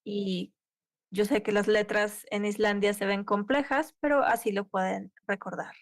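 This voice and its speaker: A woman's voice: medium pitch, fairly even intonation, measured pace, dry recording, clean studio sound. A woman interpreting a conference tech talk live, keeping pace with occasional cut-off restarts. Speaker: simultaneous interpreter.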